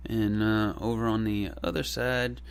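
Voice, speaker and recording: A man's voice: on the low side, a little slow, smooth. Fields: Sex: man. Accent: Texas. Role: musician guest. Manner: laid-back, easygoing. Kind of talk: casual radio interview. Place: radio studio.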